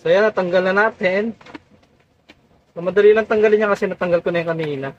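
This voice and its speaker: A man's voice: sits high, steady tone, fast, a little hiss or hum. A man with a Filipino accent narrating a casual hands-on how-to as a tutorial vlogger, often addressing his viewers directly. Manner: repeatedly addresses viewers as guys. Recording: dull lo-fi audio.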